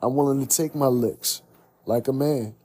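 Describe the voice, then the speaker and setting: A young man speaks in an earnest, preaching monologue, circling back and repeating his points. His voice is dark and mellow with a husky edge. He sits close to the camera in a small room. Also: mid-range voice, normal speed. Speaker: podcast host. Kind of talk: reflective monologue.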